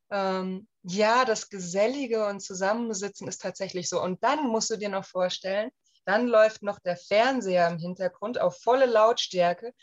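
Guest interviewee, German woman in her 30s, warm chatty storyteller, mid pitch, a bit muffled recording. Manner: chatty, many fillers, lively retelling. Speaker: guest, emigrant. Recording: slightly dull lo-fi sound.

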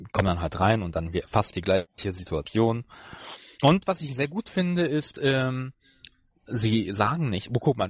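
Low-pitched man chatting in a dry recording. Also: husky, no echo. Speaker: podcast co-host. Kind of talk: casual chat, episode review.

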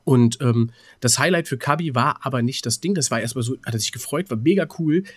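A man's voice: medium pitch, fairly quick, breathy edge, no echo, clean studio sound. A man co-hosting a podcast, chatting casually in rambling anecdotes and often hesitating as he searches for words. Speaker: podcast co-host.

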